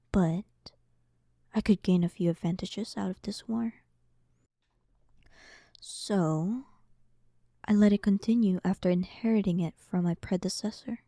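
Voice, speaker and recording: A woman plays a dominant demon queen in an intimate roleplay audio. Her voice is very dark and velvety, with a soft breathy edge, and she takes her time over every phrase. She sounds haughty and bored, teasing her listener with dry, condescending sarcasm, all in a close, dry recording. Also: medium pitch, no room sound. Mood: coolly matter-of-fact. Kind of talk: scripted roleplay audio, intimate one-sided dialogue.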